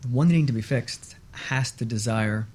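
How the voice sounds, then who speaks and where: A man speaking as an expert guest on a radio talk show, explaining in a measured, emphatic way and repeating points for stress. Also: mid-range voice, a little mellow, breathy edge.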